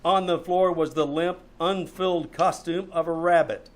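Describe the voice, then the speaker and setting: High-voiced wisecracking radio private eye, played by a man. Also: steady tone, moderate pace, close to an everyday voice. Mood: wry narrating. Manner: wry, breezy first-person storytelling. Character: wisecracking, flirtatious male hard-boiled private detective narrating his case. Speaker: amateur radio troupe actor. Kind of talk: old-time radio detective drama. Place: small-town theater stage. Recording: live stage show with background music.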